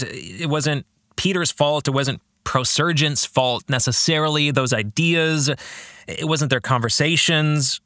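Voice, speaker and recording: Bright-voiced man, expressive and measured. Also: a little high, no room sound, clean studio sound. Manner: earnest, emphatic, deliberate pauses. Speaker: podcast host and pastor. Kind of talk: reflective book discussion with read-out passages.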